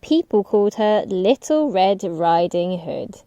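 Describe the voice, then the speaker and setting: A woman's voice: medium pitch, lively intonation, slow, dark tone, no room sound, highs cut off. A woman telling a story to young children as a storybook reader.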